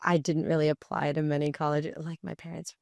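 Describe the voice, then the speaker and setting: A woman's voice: low-pitched, little pitch movement, brisk, creaky, a little mellow, dry recording, studio quality. A young woman in her twenties, a podcast guest talking casually, with a lot of "like" fillers and rambling sentences. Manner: rambling, many fillers, acts out voices in anecdotes. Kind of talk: casual conversational interview.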